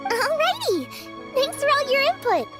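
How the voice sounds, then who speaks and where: A woman voicing a tiny, excitable girl companion in a video game, who talks about herself in the third person. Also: very high-pitched, a little slow, music in the background, heavily acted. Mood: chirpy.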